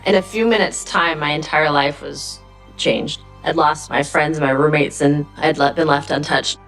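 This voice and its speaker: A woman's voice: deep voice, fairly even intonation, moderate pace, fairly bright tone, faint music behind, rough recording. A woman recounting personal events in a documentary interview. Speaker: crime survivor interviewee.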